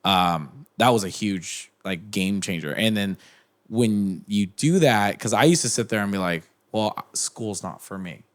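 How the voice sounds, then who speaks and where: A man's voice: on the low side, a little slow. A young man talking as a podcast guest in casual chat, rambling with many restarts and fillers. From United States.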